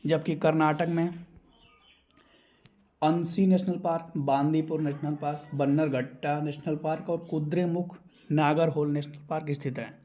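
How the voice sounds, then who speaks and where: Higher-pitched male exam tutor. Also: steady tone, normal speed, dark tone, dull audio. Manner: instructional, repeats key terms for memorising. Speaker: exam coaching teacher. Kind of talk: explaining quiz answers for exam preparation.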